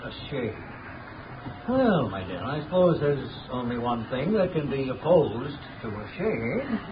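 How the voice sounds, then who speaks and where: Caballero rico, calmado e irónico. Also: male voice, mid-range voice, measured pace. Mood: wry. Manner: urbane, lightly teasing, polished diction. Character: suave wealthy young gentleman, calm and wry. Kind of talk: 1930s radio drama acting. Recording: muffled noisy 1930s radio recording.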